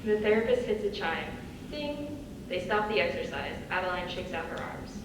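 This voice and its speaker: A woman's voice: lowish voice, very animated, moderate pace. A woman reading scripted stage directions as a narrator in a live reading. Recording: distant echoey hall, clear background noise.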